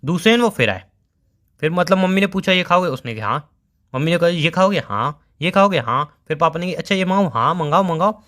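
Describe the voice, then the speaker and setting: A man's voice: a little high, lively intonation, brisk, a bit bright. A young man playing a bantering, evasive boyfriend who explains himself casually in a phone-call skit. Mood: explaining, storytelling. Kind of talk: casual scripted couple phone call in Hindi.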